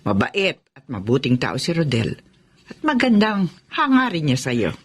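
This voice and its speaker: A caring middle-aged mother speaks in a lowish, lively voice. She is reassuring and approving in radio drama style, recorded dry and close. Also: unhurried, slightly rough, no room sound, exaggerated voice. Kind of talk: Filipino radio drama acting.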